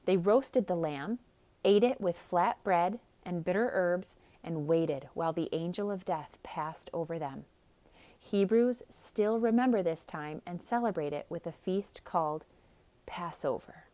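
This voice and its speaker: A woman storyteller reading a picture book aloud for children in an expressive, dramatic style. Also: lowish voice, a little slow. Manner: expressive, dramatic, with hushed emphasis. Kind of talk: theatrical read-aloud narration with casual asides.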